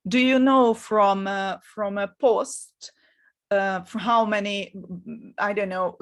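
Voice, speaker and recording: A middle-aged woman with a Hungarian accent, coaching in an energetic, encouraging webinar talk, often repeating words and pausing with fillers. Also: medium pitch. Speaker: marketing coach.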